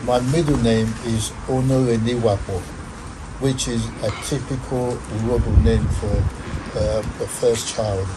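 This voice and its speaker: An older man from Nigeria, talking slowly and deliberately as an interview guest in a studio. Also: mid-range voice, steady tone, background noise. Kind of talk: conversational interview.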